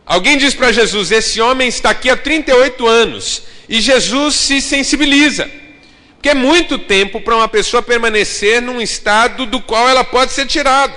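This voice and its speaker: A man's voice: very high voice, normal speed, bright, forceful tone. A man in his late thirties preaching a sermon to a congregation, speaking emphatically and addressing them directly. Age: 30s. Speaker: pastor. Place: large church hall. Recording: long hall reverb.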